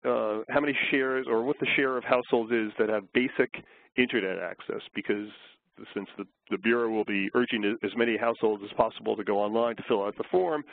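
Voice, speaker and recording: Man, slightly hoarse, webinar presenter, measured explanations, tinny phone line, dry sound. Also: on the low side, no room sound. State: hoarse from a flu.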